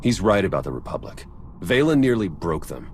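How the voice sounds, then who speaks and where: An actor playing a wry, outspoken ally of a commander in a video game, casual and blunt. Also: male voice, low voice, very animated, fairly quick, a little mellow, a little hiss or hum. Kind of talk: cinematic video game acting.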